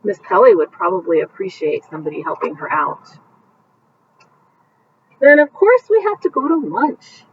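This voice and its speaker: A woman, a teacher, reading a picture book aloud and pausing to point out the pictures for young listeners. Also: medium pitch, husky, distant mic, phone-quality sound. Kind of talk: bedtime story read-aloud with commentary.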